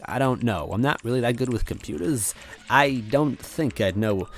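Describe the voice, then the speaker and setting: A man's voice: mid-range voice, expressive, moderate pace, creaky, soft background music, voice pushed up. A man voicing a kind, anxious story hero looking for his brother, hesitant and earnest. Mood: apologetic.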